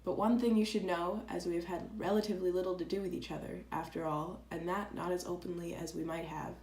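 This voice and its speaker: A woman playing an earnest, proud and candid letter writer in a dramatized reading, speaking reflectively and deliberately. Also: lowish voice, steady tone, a little mellow, natural voice. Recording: echoey room with clear background noise.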